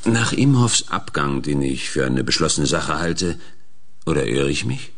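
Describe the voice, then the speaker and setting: A man's voice: rumbling low, expressive, unhurried, full character voice. An actor playing a curt, domineering male industry lobbyist in a radio play, brusque and condescending. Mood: businesslike.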